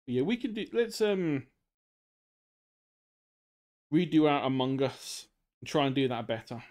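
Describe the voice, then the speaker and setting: A man's voice: a little high, very animated, unhurried, a little mellow. A young man casually explaining drawing on a live stream, in rambling, loose sentences full of fillers.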